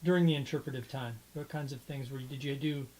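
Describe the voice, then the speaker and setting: A man's voice: mid-range voice, steady tone, fast, a little mellow, slight background noise, low-quality recording. A man interviewing for a folklore project, repeating words as he works out his questions. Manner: hesitant, repeats words while framing questions. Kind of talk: oral history interview.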